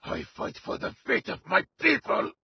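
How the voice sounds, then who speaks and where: A man voicing a vengeful, wrathful militant commander in a video game, gravelly and commanding, delivering menacing combat lines. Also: normal speed, bright, forceful tone. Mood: furious. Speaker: playable game character.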